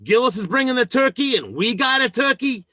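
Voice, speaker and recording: An actor playing a blustering, scheming working-class husband who stammers and boasts, in old-time radio sitcom style. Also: male voice, very high voice, sing-song, a little fast, sharp tone, no echo, heavily acted. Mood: scheming, smug.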